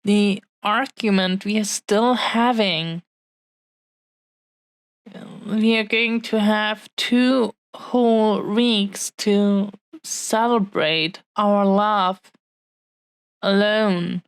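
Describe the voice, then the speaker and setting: A woman playing a possessive, adoring dragon-blooded fiancée in an intimate audio roleplay, speaking in earnest, halting, heartfelt phrases. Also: mid-range voice, clear, resonant tone, dry recording.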